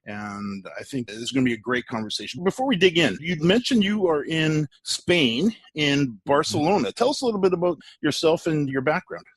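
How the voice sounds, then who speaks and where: A middle-aged man hosting a podcast interview, speaking in an enthusiastic, measured way. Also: a little high, animated, husky, no room sound. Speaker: podcast host.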